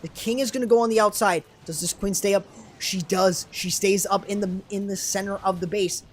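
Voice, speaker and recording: A young man commenting live on a video game match, excitable and quick to burst into exclamations, his voice strained and starting to go hoarse. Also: sits high. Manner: excitable, rising to sudden exclamations. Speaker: esports commentator and streamer.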